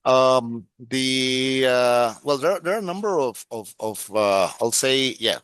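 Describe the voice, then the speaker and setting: Halting Ecuadorian man, smooth dry voice. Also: medium pitch, fairly bright tone, no echo. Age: middle-aged. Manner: halting, many restarts and fillers. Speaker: guest, security expert. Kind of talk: casual interview talk.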